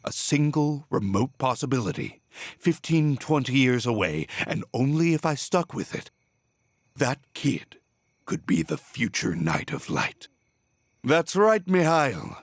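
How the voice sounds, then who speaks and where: An embittered villain of a video game, a middle-aged false father consumed by obsession. He speaks slowly and theatrically, with big swings in pitch and a taunting edge, recorded close and dry. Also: big pitch swings, no room sound. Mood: smug, confessing. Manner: theatrical, taunting, drawn-out delivery. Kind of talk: dramatic video game voice acting.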